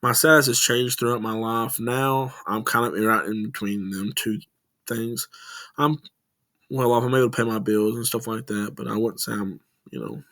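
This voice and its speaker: A young man from West Tennessee speaks with a Southern twang at an ordinary middle pitch. He rambles casually through a class presentation, filling pauses with "um" and restarting his sentences. His voice sounds close and dry, with no room echo. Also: steady tone, a bit bright. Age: young adult. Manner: rambling and informal, many "um" fillers and restarts. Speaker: student presenting a class project.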